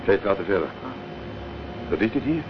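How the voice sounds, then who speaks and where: A man's voice: lowish voice, sing-song, normal speed. A man playing a polite, probing private detective in a Dutch radio drama. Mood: businesslike, polite. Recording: dull, noisy old radio recording.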